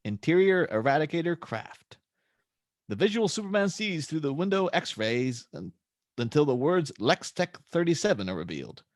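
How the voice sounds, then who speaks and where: Animated male script narrator. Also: fairly high pitch, normal speed, no room sound, studio quality. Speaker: narrator of stage directions. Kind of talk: scripted narration at a table read.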